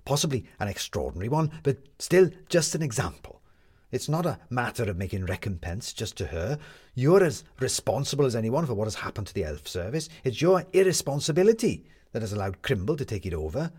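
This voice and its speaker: A sharp, determined young woman reporter from a storybook, as a male reader plays her. The delivery is emphatic and very animated, with a slightly rough edge and plenty of challenging questions. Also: mid-range voice, brisk. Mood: scolding. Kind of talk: storybook character dialogue.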